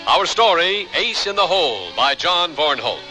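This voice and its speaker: A man's voice: fairly high pitch, sing-song, normal speed, sharp tone, faint music behind. A man doing scripted announcing for a radio theater program.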